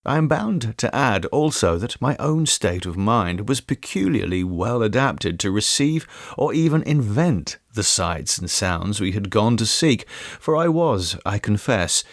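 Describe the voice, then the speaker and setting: A man narrates an eerie literary tale in a measured, storytelling manner. His voice sits on the low side and moves expressively through a wide range of pitch. The recording is dry, with hardly any room sound. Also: sing-song, no echo. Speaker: audiobook reader.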